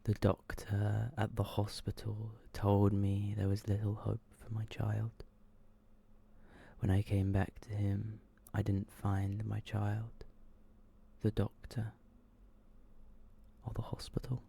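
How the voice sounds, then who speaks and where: A man reading short horror stories in a soft, breathy, hushed ASMR style, often slipping toward a whisper. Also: on the low side, monotone. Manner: slow, hushed, subdued delivery. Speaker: ASMR storyteller.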